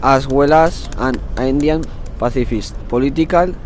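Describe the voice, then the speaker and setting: A man's voice: mid-range voice, normal speed. A young man reciting a scripted first-person history text as a student, outdoors in a city. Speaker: student presenting a history project. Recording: faint outdoor city traffic noise.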